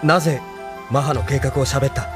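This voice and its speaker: An actor playing an earnest, defiant young idealist hero in an audio drama. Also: male voice, medium pitch, steady tone, normal speed, music in the background, lightly acted. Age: young adult. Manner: earnest, questioning.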